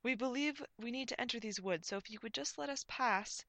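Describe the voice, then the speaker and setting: A woman's voice: fairly low pitch, animated, unhurried, gravelly, sharp tone, dry recording, exaggerated voice. A woman voicing a bold, prickly noblewoman who speaks with impatient authority in a fantasy story. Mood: imperious, impatient. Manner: authoritative, demanding. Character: prickly, imperious young noblewoman, bold and antagonistic.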